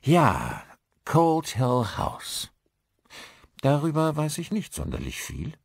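A man's voice: fairly high pitch, wildly swooping pitch, slow pace, creaky, husky, dry recording, studio quality, pitched above the performer's own voice, heavily acted. A man voicing a friendly, married village pastor in an audiobook, courteous and talkative. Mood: affable.

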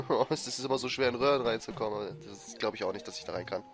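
A man with a cold giving excitable, frustrated commentary over a platform game he is playing. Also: lowish voice, quick pace. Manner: frustrated, excitable, swears and laughs. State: has a cold, coughing. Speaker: let's player. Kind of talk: gameplay commentary. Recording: faint game music behind. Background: game music.

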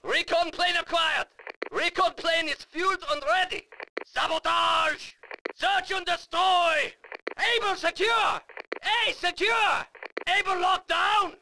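An actor playing a fierce, fanatical German army officer who barks commanding battle announcements in a German accent for a game. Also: male voice, very high voice, steady tone, slow pace, gravelly, shouting, noisy background, heavily acted. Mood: triumphant, commanding. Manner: barking, emphatic, commanding. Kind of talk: shouted military game announcements.

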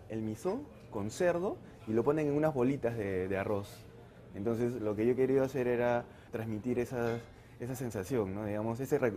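A man's voice: mid-range voice, mellow. A man in his forties, a chef, explaining his dishes in a restaurant kitchen with frequent restarts and fillers. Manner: halting, many restarts and fillers. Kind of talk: casual kitchen interview. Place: restaurant kitchen and sushi bar.

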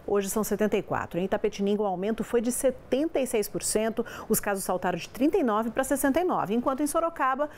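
Fast-talking woman news anchor. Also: on the high side, darkish tone, slight background noise. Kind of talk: news presenting. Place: TV studio.

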